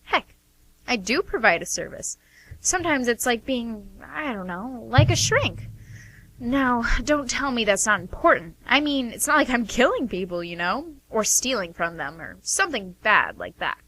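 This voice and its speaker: A woman's voice: a little high, sing-song, moderate pace, bright, forceful tone, exaggerated voice, poor audio. A woman acting a flustered, defensive young woman in a radio play, chatty and hesitant as she justifies herself. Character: defensive, flustered young working woman hiding her profession from family.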